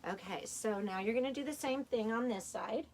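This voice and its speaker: Chatty woman teaching sewing, slightly raspy. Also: fairly high pitch, normal speed, darkish tone, slight background noise. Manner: chatty, talks while working, restarts often. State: tired, a little hoarse. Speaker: sewing instructor. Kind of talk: live tutorial demonstration.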